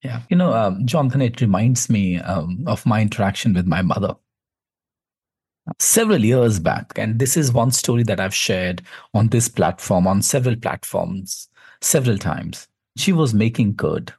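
A man with an Indian accent hosts in a low, husky voice, recorded close and dry. He talks reflectively, setting out his thoughts in deliberate, measured phrases. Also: lively intonation, a little mellow, no room sound. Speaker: podcast host. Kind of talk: reflective conversation.

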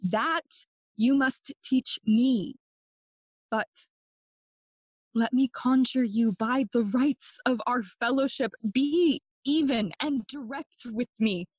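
A slow, sardonic young prince Hamlet. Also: female voice, high-pitched, husky, no room sound, exaggerated voice. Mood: probing. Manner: theatrical, mocking, switches between English and Spanish. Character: Prince Hamlet, young man, sardonic, melancholy, feigning madness. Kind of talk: classical Shakespearean stage acting for audio play.

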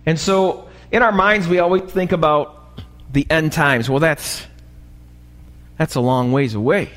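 An American man preaches a sermon live in a church with long reverb. His slightly raspy voice sits a little higher than average, and he takes his time. He often punctuates his points with short questions to the congregation. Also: measured pace, a bit bright. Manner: asks the congregation frequent short checking questions. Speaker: pastor.

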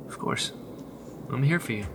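A man's voice: whispered, close to an everyday voice. Earnest, reassuring man playing a rebel leader. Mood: soothing. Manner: reassuring, earnest, breathy pauses. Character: earnest, protective male rebel leader, steadfast comforter. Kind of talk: naturalistic sci-fi radio drama acting.

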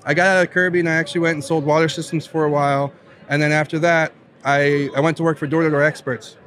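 A man's voice: a little high, flat delivery, quick pace, fairly bright tone. A man in his late twenties from Southern Virginia, a guest telling his career story in a casual podcast chat, upbeat and laughing often. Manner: upbeat, chatty, laughs often, says "right?".

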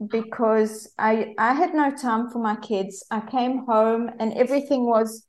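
A woman's voice: a little high, fairly even intonation, unhurried, darkish tone. A woman in her forties with a South African accent, a guest coach telling her personal story candidly with frequent fillers.

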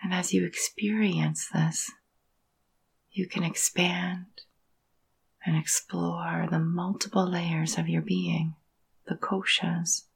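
A woman leading a guided meditation in a soft, breathy, husky voice, gentle and soothing, with long pauses between phrases. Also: fairly low pitch. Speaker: yoga nidra meditation guide.

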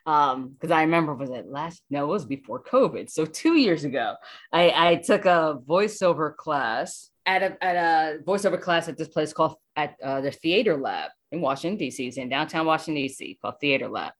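Low-voiced woman, thinking aloud. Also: animated, moderate pace. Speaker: voice actor, interview guest. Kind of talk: casual interview.

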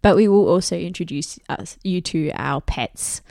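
A woman co-hosting a home podcast in a lowish voice with a gravelly fry. She rambles in an earnest way and laughs easily. The recording is close and dry. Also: expressive, normal speed, dark tone, dry recording. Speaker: podcast co-host. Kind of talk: casual chat.

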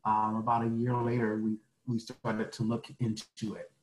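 A man's voice: fairly low pitch, monotone. Guest: man in his forties, earnest, hesitant, recorded in an echoey room. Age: 40s. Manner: earnest, halting, many fillers. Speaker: podcast guest. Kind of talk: casual interview answers.

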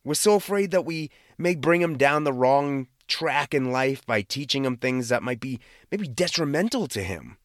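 A male podcast host with an American accent gives a casual devotional talk in a very lively, swooping voice. He is conversational and emphatic, repeating words to drive points home. The recording is close and dry. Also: mid-range voice, normal speed, hardly any pauses, no echo. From Boston area, United States.

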